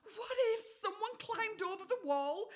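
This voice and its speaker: A woman's voice: very high voice, animated, normal speed. An actress playing a flustered, fretful mother of a poor family in a radio play. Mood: anxious. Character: fussing mother Mrs. Cratchit.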